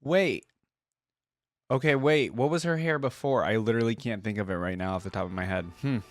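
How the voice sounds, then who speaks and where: A man hosting a reaction video, giving casual, rambling gaming commentary as he thinks out loud. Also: mid-range voice, fairly quick, soft background music.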